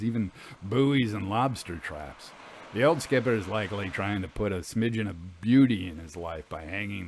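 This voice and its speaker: A man's voice: fairly low pitch, slight background noise, close to an everyday voice. A man playing a plain-spoken, good-humored boatman in a story, with folksy, clipped phrasing. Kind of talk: audio storytelling, acted dialogue.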